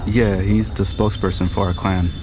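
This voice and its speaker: A man with a lowish voice recounts a personal story in the first person, as a documentary voice-over. Guitar music plays clearly behind his words. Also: flat delivery, moderate pace.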